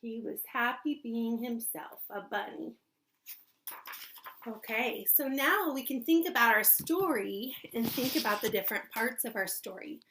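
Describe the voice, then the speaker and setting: A woman kindergarten teacher reading a storybook aloud expressively, pausing to ask young listeners questions. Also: on the high side, lively intonation, sharp tone, rough recording.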